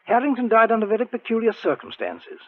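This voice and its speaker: An actor playing an anxious, irritable society secretary, agitated and emphatic, in a 1940s radio drama. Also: male voice, very high voice, wildly swooping pitch, fast, exaggerated voice. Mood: uneasy, ominous. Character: anxious, irritable British science society secretary, suspicious man. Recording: thin narrow-band 1940s radio recording.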